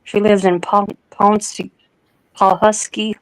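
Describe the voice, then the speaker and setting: A woman's voice: medium pitch, little pitch movement, takes it slowly, clean tone, no echo, low-quality recording. A woman chatting casually as a guest on a live streaming panel. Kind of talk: casual chat.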